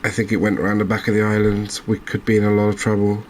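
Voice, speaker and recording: Low, even-voiced man doing cozy game commentary. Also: quick pace, a little hiss or hum. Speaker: gaming channel host.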